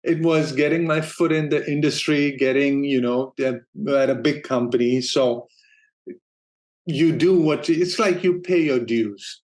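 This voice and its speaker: A man's voice: mid-range voice, a little slow. Danish-born man telling his career story. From Denmark. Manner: relaxed storytelling, laughs easily, frequent restarts. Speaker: guest, streaming operations manager. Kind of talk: casual interview talk.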